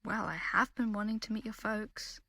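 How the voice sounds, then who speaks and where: A woman's voice: mid-range voice, fairly even intonation, normal speed, bright, forceful tone, no echo. A British woman playing a defiant, teasing young rebel and earnest lover in an intimate audio drama.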